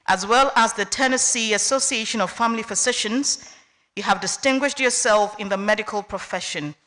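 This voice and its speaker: Bright-voiced woman reading a formal citation. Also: fairly high pitch. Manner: measured, deliberate list-by-list delivery. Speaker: ceremony orator reading a citation. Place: university lecture hall.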